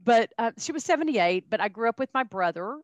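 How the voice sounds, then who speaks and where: A woman's voice: on the high side, expressive, brisk, no room sound. A middle-aged woman from Texas with a Texan accent, telling her life story as a podcast guest in a chatty, folksy way with many fillers and restarts. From Texas, USA. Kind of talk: casual interview storytelling.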